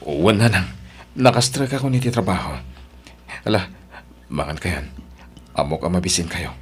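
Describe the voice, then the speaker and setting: A man acting a dutiful grown son in an Ilocano radio-style drama. His voice is deep, and he comes across as caring. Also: normal speed, close to an everyday voice.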